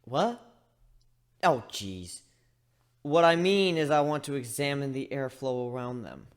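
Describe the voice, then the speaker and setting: A man's voice: medium pitch, animated, measured pace, smooth, lightly acted. A man voicing a chatty, wry griffin who narrates a fan fiction story in a conversational, rambling way. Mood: casual, friendly. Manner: conversational, rambling, self-interrupting.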